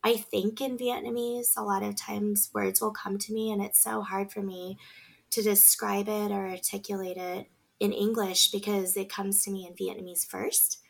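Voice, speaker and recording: A woman's voice: mid-range voice, flat delivery, moderate pace, creaky, slightly rough. A woman in her thirties, a podcast guest, talking in an earnest, reflective way with many fillers. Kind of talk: casual conversation.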